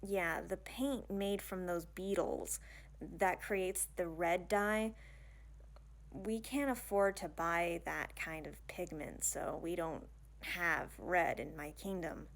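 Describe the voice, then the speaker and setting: A woman's voice: on the low side, slow pace, gravelly. A woman playing an awkward, bashful young princess in a fantasy audio roleplay, hesitant and stammering, rambling in casual modern phrases. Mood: bashful, apologetic. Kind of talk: ASMR romantic fantasy audio roleplay, one-sided dialogue.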